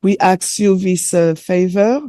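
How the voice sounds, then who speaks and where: A woman with a French accent, French her first language, delivering a sermon in English with hesitant, filler-laden pauses while an interpreter follows her. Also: fairly low pitch, steady tone, dark tone. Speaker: presenter.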